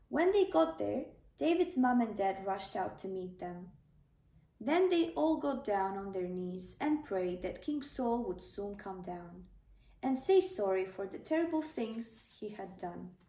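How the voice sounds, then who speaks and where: A woman's voice: mid-range voice, expressive, a little slow, warm tone. A woman narrating a children's bedtime story, reading aloud as a storyteller. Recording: roomy, slightly distant microphone.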